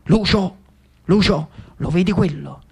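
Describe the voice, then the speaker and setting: A man's voice: high voice, measured pace, creaky, a little mellow, slightly rough, full character voice. A man playing a knowing, bossy Neapolitan friend in a theatrical monologue. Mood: confiding.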